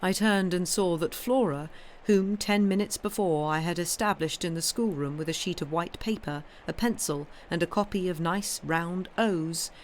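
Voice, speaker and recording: A woman reading a classic novel aloud in an expressive, literary narrating style. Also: on the low side, normal speed, hardly any pauses. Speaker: reader, streamer. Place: live stream. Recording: clearly noisy background.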